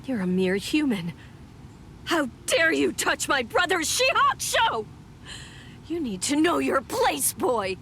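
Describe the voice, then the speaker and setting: A woman's voice: high voice, big pitch swings, takes it slowly, fairly bright tone, a little hiss or hum, exaggerated voice. An actress playing a proud young noblewoman warrior in an anime game, formal, imperious and dramatic, with stern self-sacrifice. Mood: fierce, imperious. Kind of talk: English anime game dub acting.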